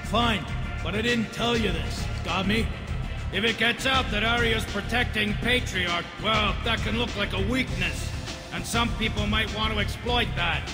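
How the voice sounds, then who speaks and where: Crime boss's henchman, sci-fi game: higher-pitched, curt, cagey, echoing in a reverberant hall. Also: measured pace, over music. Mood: guarded, irritated. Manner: blunt, streetwise. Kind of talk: video game voice acting.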